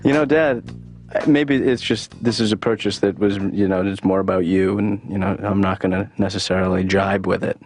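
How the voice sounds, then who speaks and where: An actor playing an aimless young adult son in a cartoon, talking in a rambling, hesitant deadpan mumble. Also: male voice, moderate pace, soft background music. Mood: deflecting. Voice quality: low gravelly deadpan mumble. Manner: rambling, hesitant, many fillers. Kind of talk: animated sitcom dialogue.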